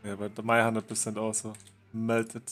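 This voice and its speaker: A man casually chatting with a friend while they play a game together, relaxed and joking, laughing now and then. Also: on the low side, moderate pace, faint music behind. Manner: relaxed, joking, chuckles often. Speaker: game streamer. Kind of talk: casual gaming chat with a friend.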